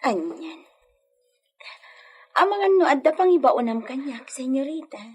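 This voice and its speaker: A woman's voice: high-pitched, expressive, measured pace. A woman with an Ilocano accent playing a vain, cheeky, coquettish young maid in a radio drama.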